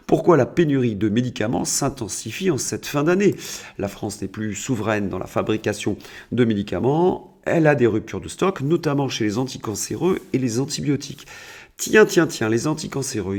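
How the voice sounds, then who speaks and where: A man's voice: mid-range voice, darkish tone. A man delivering opinionated political commentary to his viewers, sardonic and emphatic, with rhetorical questions and repeated words. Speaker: political video commentator and host.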